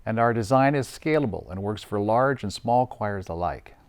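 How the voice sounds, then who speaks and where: A man's voice: lowish voice, expressive, normal speed. A man hosting a scripted promotional interview, presenting as an audio and video designer.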